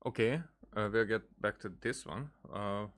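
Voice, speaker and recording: Male gameplay commentator, somewhat low voice, casual and halting, working out thoughts aloud. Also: a bit bright. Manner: hesitant, halting, thinking aloud. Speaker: game streamer.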